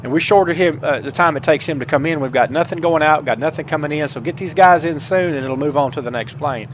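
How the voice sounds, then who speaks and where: A man's voice: fairly high pitch, fast, slight background noise. A middle-aged man giving a casual, folksy step-by-step game tutorial on a headset, addressing his viewers informally. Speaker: gameplay tutorial host.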